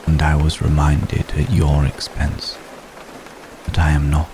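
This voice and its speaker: A man playing an ancient, brooding, remorseful vampire gentleman in an intimate ASMR roleplay, speaking formally and solemnly with long, measured pauses. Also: extremely low pitch. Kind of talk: ASMR roleplay, intimate slow dramatic monologue. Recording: steady rain noise behind the voice. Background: rain.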